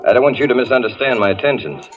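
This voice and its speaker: An actor playing a confident, flirtatious tough police detective in a 1940s radio thriller, self-assured and slangy. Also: male voice, medium pitch, animated, very fast, lightly acted. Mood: teasing. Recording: muffled old radio recording, music behind.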